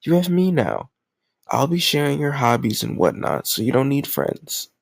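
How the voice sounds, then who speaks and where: A man's voice: medium pitch, huge pitch swings, moderate pace, vocal fry, dry recording, exaggerated voice. A man playing a lovesick, possessive yet awkwardly doting kidnapper in an intimate roleplay audio drama, talking straight to the listener with rambling reassurance. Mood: lovestruck, rambling.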